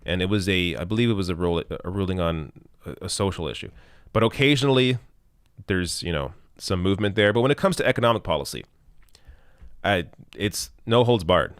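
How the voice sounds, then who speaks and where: A man's voice: deep voice, lively intonation, brisk, dry recording, clean studio sound. A man hosting a live stream and reading out viewer questions, thinking aloud with ums and restarts as his voice gives out. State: losing his voice, clearing his throat. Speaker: live stream host answering super chats.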